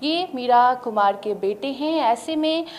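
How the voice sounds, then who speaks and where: A woman's voice: on the high side, animated, unhurried, darkish tone, slight background noise. A woman news interviewer who introduces the guest and asks direct, formal questions.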